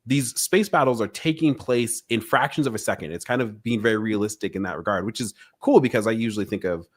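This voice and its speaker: A man's voice: lowish voice, fast talker. A man hosting a podcast in a casual conversational style, musing informally and rambling a little through his explanations. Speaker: podcast host.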